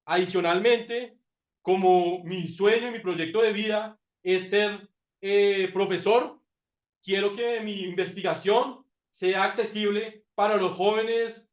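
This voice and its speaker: A man's voice: high-pitched, fairly even intonation, slow pace. A man presenting his doctoral thesis in a master class, talking reflectively with frequent fillers and repeated words. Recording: roomy, dull lo-fi sound.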